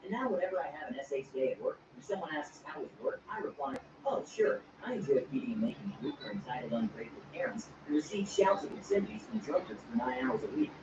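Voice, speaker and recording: A synthetic text-to-speech voice reads stories quickly, spelling out abbreviations as it goes. Its pitch jumps around a lot. It plays from a device across an echoey room, dull and noisy. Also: very animated. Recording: distant echoey speaker audio, dull and noisy.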